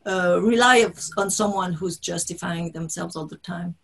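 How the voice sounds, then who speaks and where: A woman whose first language is French, speaking English as an author guest in an interview over a video call, halting and restarting often. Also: lowish voice, creaky. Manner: halting, restarts often, laughs between thoughts. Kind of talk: conversational interview.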